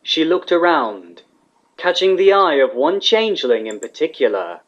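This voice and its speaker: A man's voice: fairly high pitch, very animated, moderate pace. A man reading scripted fiction as the narrator of a dramatic story. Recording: slightly dull, lo-fi recording.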